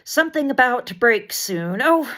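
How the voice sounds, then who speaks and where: A woman reading poetry aloud as dramatic spoken word, in an intense, deliberate delivery of short, weighted phrases. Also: a little high, lively intonation, sharp tone. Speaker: poet reading poems aloud.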